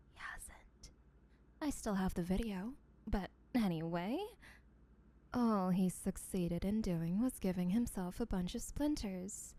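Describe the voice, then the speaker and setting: Woman acting a obsessive yandere kidnapper, mellow voice, smug, teasing, rambling, sweetly menacing, comedic audio roleplay. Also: medium pitch, close to an everyday voice.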